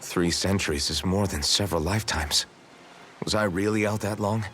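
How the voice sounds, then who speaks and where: An actor playing a troubled, earnest young warrior hero in a video game dub, speaking tersely and broodingly. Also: male voice, low-pitched, moderate pace, fairly bright tone, a little hiss or hum. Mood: subdued, earnest. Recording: game music playing behind. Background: background music.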